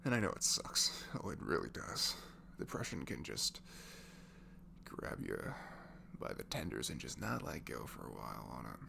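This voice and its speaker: A man with a very low, velvety voice talks intimately, as if leaving an ASMR comfort message for a friend. His pitch wanders expressively while his delivery stays gentle and halting, often falling to a murmur. Clear noise sits behind him on a homemade recording. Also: sing-song. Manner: gentle, halting, drifts into breathy murmurs, casual teasing.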